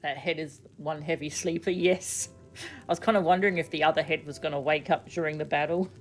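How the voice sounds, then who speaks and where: A woman's voice: low-pitched, fairly even intonation, fairly quick, soft background music. A woman streaming a video game, giving casual, playful, giggly commentary and reading some game text aloud.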